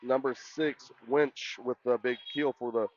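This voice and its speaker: Loud man calling volleyball, muffled audio. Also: mid-range voice, steady tone, a little slow. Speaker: sports play-by-play announcer. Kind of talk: live volleyball commentary.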